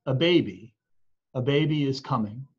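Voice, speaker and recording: Earnest weary traveler calling for shelter, storybook reading, read out by a man, husky and slightly muffled. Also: medium pitch, lively intonation, moderate pace. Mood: urgent.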